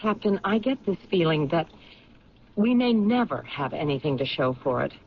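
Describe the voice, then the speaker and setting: A steady police lieutenant who follows her hunches and asks measured, probing questions. Her voice sits low but moves lively. It comes through dull lo-fi radio with a faint hiss. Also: gravelly. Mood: pondering. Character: thoughtful woman police lieutenant, steady and intuitive. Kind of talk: 1970s radio drama acting.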